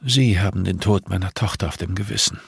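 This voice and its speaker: A man narrator plays an older nun in an audiobook crime story. The voice is slightly husky and close in a dry space, measured and solemn. She is a grieving mother who accuses quietly and speaks in riddles. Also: low voice, no room sound, exaggerated voice. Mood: quietly accusing.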